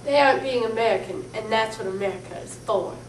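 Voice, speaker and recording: A teenage girl hams up an old-fashioned commercial in a high voice. The sound comes from a distant camera in an echoey classroom. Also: quick pace, a little hiss or hum. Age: teens. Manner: eager, exaggerated ad delivery. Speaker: student actor in a mock ad. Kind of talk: mock vintage commercial. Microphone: far camera mic.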